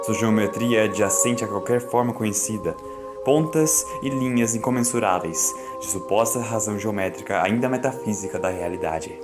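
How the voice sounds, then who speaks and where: A man narrating an audiobook in a solemn, theatrical, literary style, with loud music playing behind him. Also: lowish voice, moderate pace. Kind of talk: dramatic scripted first-person narration.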